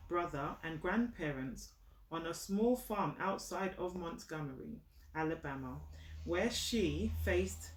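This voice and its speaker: A woman reading a children's picture book aloud to her child, teacherly, explaining as she goes and checking understanding with questions. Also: on the low side. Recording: roomy echo, distant from the mic.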